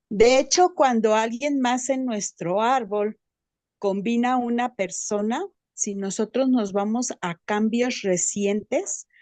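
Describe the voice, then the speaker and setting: A woman's voice: a little high, a little mellow, dry recording. A woman in an online class explaining things helpfully over a video call. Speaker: class participant.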